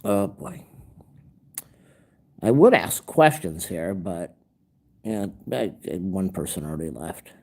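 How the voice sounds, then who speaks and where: A man's voice: sits low, sing-song, slow, long pauses, darkish tone. Grumbling, stuffed-up man. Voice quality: nasal. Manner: rambling and grumbling. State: stuffed-up nose. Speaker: livestream host. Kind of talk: casual livestream rant.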